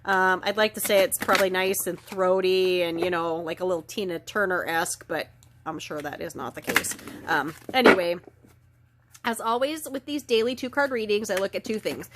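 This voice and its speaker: A woman with a slight head cold and a raw, gravelly throat, giving a soft, unhurried daily oracle card reading full of ums and trailing phrases. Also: on the low side, very noisy. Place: indoor filming setup lit by ring lights.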